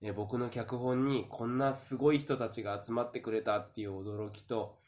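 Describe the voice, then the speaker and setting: A man's voice: mid-range voice, fairly even intonation, moderate pace, darkish tone. A man giving a polite, earnest thank-you announcement as the director of a drama troupe. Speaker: troupe director and writer giving closing address.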